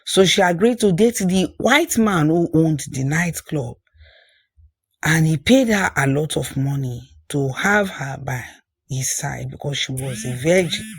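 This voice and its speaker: A storyteller narrating a scripted folktale, phrasing things deliberately and repeating for emphasis. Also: mid-range voice, husky, no room sound.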